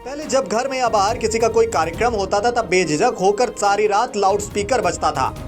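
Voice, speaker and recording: Guy w high voice doing scripted news explainer narration, bit of music behind. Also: a little fast.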